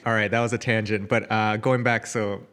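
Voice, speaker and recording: A man's voice: lowish voice, flat delivery, fairly quick, a bit bright. Friendly young male podcast host. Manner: friendly, laughs often, goes off on tangents. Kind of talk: casual interview chat.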